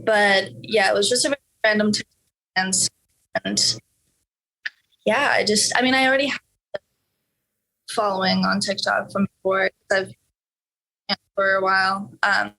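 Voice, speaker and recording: A teenage girl from Norway talks through interview questions in a casual, unhurried way. She hesitates often, filling gaps with fillers and starting sentences over. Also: medium pitch, little pitch movement, creaky, bright, forceful tone, rough recording. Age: teens. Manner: hesitant, many fillers and restarts. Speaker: interview guest, young musician.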